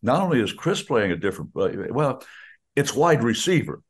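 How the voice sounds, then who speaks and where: A man's voice: mid-range voice, big pitch swings, moderate pace, husky. An older man, a veteran radio announcer, chatting in a folksy, enthusiastic way and often restarting his sentences. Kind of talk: casual chat on a radio show.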